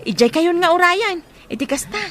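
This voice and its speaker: An actress playing a chatty, deferential young personal assistant in a radio drama. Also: female voice, very high-pitched, lively intonation, moderate pace, a bit bright. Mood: chatty, teasing. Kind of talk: Ilocano radio drama acting.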